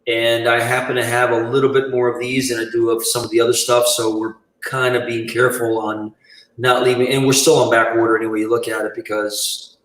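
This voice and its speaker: A man's voice: medium pitch, little pitch movement, a little fast, a bit bright. A middle-aged man chatting casually as a cigar company guest on a live show. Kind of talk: casual chat. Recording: roomy echo, distant from mic.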